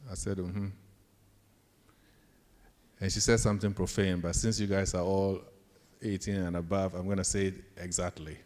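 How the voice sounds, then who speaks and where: A man's voice: low-pitched, flat delivery, normal speed, pauses often, a little mellow. A man with a Ghanaian accent giving a storytelling leadership talk to students as a professor, acting out bits of dialogue in an anecdotal way. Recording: echoey hall with long reverb.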